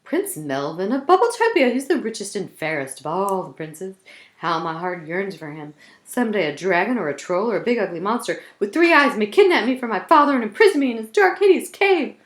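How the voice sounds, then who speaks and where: Storyteller woman as princess: dreamy, lovestruck, melodramatic, animated swoops. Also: medium pitch, brisk, echoey room, pitched above the performer's own voice, lightly acted. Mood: yearning.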